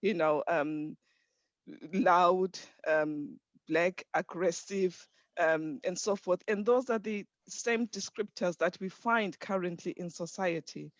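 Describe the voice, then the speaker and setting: Man, high voice, earnest, urging, panel speaker, dry dull recording. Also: steady tone, slow pace, dry recording. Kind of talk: panel discussion.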